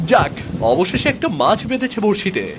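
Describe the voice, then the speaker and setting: A man's voice: sits high, big pitch swings, normal speed, noisy background. A man playing a stubborn, arrogant young prince out fishing in a children's cartoon. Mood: frustrated. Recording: music behind the voice.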